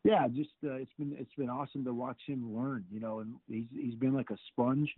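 A man's voice: mid-range voice, fairly even intonation, breathy edge, no room sound. Middle-aged coach guy on a phone line, stop-start talk, repeats himself a lot, dry thin sound. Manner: halting, repeats words often, upbeat. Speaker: football defensive coordinator. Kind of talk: interview answers.

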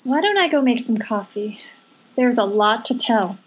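A woman's voice: fairly high pitch, expressive, normal speed, lightly acted. A woman reading the part of an earnest, worried young woman in an amateur horror script table read over an internet call. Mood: gentle, earnest. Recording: muffled, noisy internet call.

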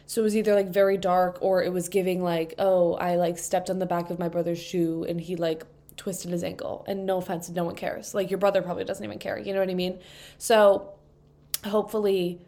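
A woman's voice: fairly low pitch, flat delivery, a little fast, warm tone. A young woman in her twenties hosting a casual solo podcast, stuffed up from allergies, rambling in a chatty way with lots of fillers, recorded on a microphone propped on a table. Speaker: podcast host. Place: indoors at a table.

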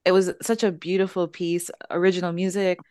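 Female guest, lower-pitched, earnest and reflective, dry close recording. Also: flat delivery, a little fast, a little mellow, clear, resonant tone, dry recording. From Montreal, Canada. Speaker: podcast guest. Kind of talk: casual chat.

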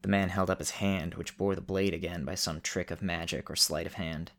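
Male storyteller and show host, deep voice with gravelly fry. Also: steady tone, brisk, fairly bright tone. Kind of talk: narration and casual host talk.

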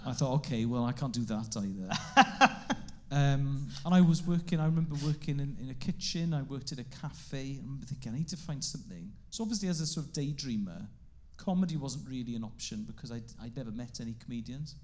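Welsh man, forties, native welsh speaker, chatty storyteller, laughs a lot, mid-pitched voice. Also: brisk. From Wales. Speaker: podcast guest, comedian. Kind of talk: casual chat.

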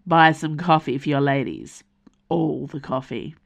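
A woman voicing a weary, gruff middle-aged male mercenary father, with a dry, understated delivery. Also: deep voice, measured pace.

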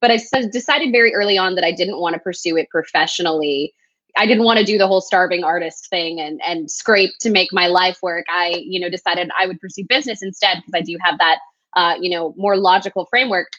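A woman's voice: on the low side, brisk, fairly bright tone, no room sound. A woman from Texas, a recruiter, telling her own story candidly and reflectively as a podcast guest. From El Paso, Texas. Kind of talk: casual interview storytelling. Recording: dry, slightly dull sound.

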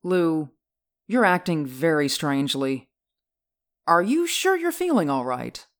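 A man voicing an affectionate young woman in an audiobook, raising his voice high and drawing out her words. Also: very animated, slow pace, fairly bright tone, exaggerated voice. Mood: fond. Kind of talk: audiobook character voice.

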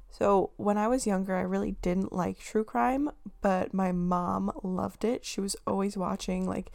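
A young woman hosting her own podcast, chatting casually and giggling, her voice raspy today with lots of filler words. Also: mid-range voice, darkish tone. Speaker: podcast host. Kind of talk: casual solo podcast chat.

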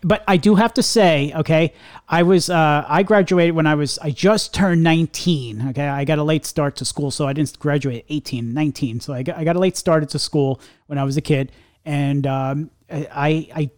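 A middle-aged man with a fairly high voice, chatting casually and laughing easily. He fills his sentences with "you know" as he tells stories. The recording is dry and close-miked. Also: moderate pace, dry recording. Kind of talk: casual chat.

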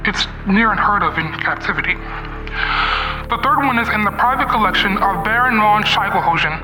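A man acting a shady, domineering, fast-talking instrument dealer in an old-time radio mystery, boastful and slangy. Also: sits high, expressive. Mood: boastful, wary. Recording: roomy, distant, music underneath.

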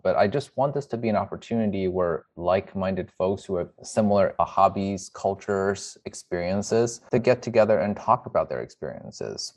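A man speaking reflectively in an interview as a physician, earnest and self-effacing, with measured, formal wording. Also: deep voice. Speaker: emergency medicine physician, interviewee. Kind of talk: reflective personal interview talk.

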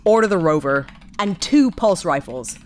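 An actress playing a brisk, exasperated female spaceship captain in a sci-fi audio drama, with a dry, curt manner. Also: on the low side, animated, background noise, close to an everyday voice. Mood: irritated.

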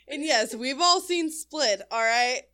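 A woman's voice: high-pitched, animated, slow, bright, forceful tone. A young adult woman co-hosting a casual chat, throwing in teasing, sarcastic quips.

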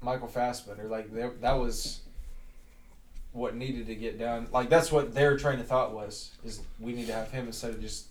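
A man's voice: mid-range voice, fairly even intonation, moderate pace. A man co-hosting a podcast in casual chat with some swearing. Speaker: podcast co-host. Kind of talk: casual chat, profane banter. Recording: roomy echo, off the mic.

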